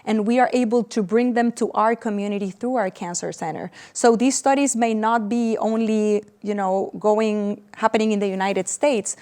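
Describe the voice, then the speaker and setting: A woman's voice: mid-range voice, darkish tone. A woman doctor in her forties from Puerto Rico, with a Puerto Rican accent and Spanish as her first language, explaining things earnestly and step by step in a TV interview. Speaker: cancer doctor.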